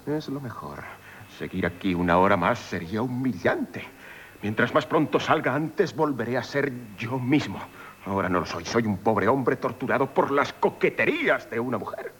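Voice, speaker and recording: A man plays a haughty gentleman of means who distrusts women, in a Spanish radio play. His Castilian delivery is imperious and theatrical, swinging widely in pitch, and he stammers when flustered. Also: lively intonation, moderate pace, a little hiss or hum, close to an everyday voice. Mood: lovesick, tormented. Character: rich, proud misogynist gentleman, flustered by love.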